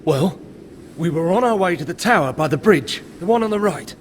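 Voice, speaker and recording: High-pitched frightened deserter. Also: male voice, animated, fairly quick, a little hiss or hum. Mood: anxious, pleading. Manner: anxious, pleading. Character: frightened, pleading male deserter hiding from monsters. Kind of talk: fantasy game character acting.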